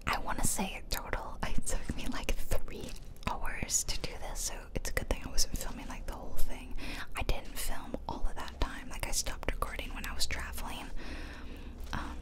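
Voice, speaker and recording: A woman whispering chatty, self-deprecating commentary over gameplay in a soft, breathy ASMR style. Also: normal speed, poor audio. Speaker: ASMR gaming video host.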